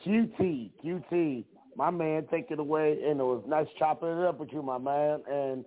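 A raspy-voiced man hosting a podcast, talking in a laid-back, slangy way. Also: on the high side, normal speed. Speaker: podcast host. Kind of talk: casual chat. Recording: tinny phone line.

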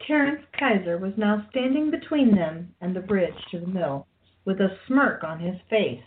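A woman's voice: mid-range voice, expressive, normal speed, slight background noise. A woman narrating a radio play in a scripted storytelling style. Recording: tinny phone-like line.

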